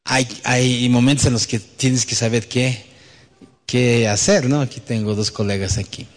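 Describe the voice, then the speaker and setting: A man's voice: medium pitch, a little mellow. Male pastor preaching in an echoey hall. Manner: rhetorical questions and tag questions to listeners; acts out quoted dialogue. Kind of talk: conversational sermon with anecdotes. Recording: echoey hall with long reverb.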